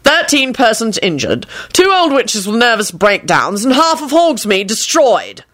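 A woman's voice: fairly high pitch, sing-song, unhurried, a bit bright, pitched above the performer's own voice. A woman voicing an exasperated, furious male boss in a story, ranting with sarcasm and profanity. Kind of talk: dramatic storytelling of fan fiction.

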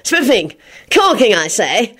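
A woman's voice: fairly high pitch, very animated, slow pace, sharp tone, pitched above the performer's own voice, exaggerated voice. Woman doing a snooty, pompous officious man. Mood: hearty. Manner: snooty, huffy, old-chap formality. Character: pompous, self-important bespectacled officious man.

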